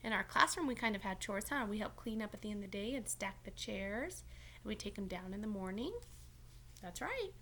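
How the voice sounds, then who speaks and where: A woman's voice: medium pitch, lively intonation, fairly quick, bright, forceful tone, a little hiss or hum. A woman reading a storybook aloud as a teacher, encouraging and stopping to check in with young listeners. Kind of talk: storybook read-aloud with questions to children.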